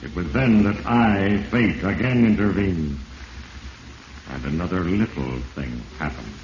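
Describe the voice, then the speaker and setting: An actor playing Fate, a solemn, ominous spirit who narrates a sinner's downfall with grave, deliberate, accusing address in 1940s radio mystery style. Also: male voice, sits low, darkish tone. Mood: ominous judgment. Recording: muffled echoing vintage radio recording, hissy.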